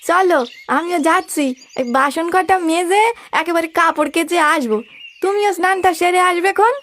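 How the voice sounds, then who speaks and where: A woman's voice: extremely high pitch, a little slow, a bit bright. A woman playing a familiar, watchful village helper in a cartoon story. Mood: friendly, bossy. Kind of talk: animated cartoon story dialogue.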